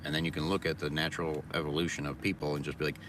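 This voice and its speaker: A man with an extremely low, deep voice rambles through a casual monologue, answering comments with dry sarcasm. He sits some way from the microphone. A loud, steady background noise runs under everything he says. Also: very fast, far from the mic. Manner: rambling, sarcastic, reading chat comments aloud. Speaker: vlogger.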